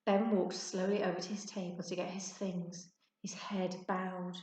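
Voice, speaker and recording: A woman giving an expressive children's storybook narration, like a teacher reading to her class. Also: on the low side, moderate pace. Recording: echoey untreated room.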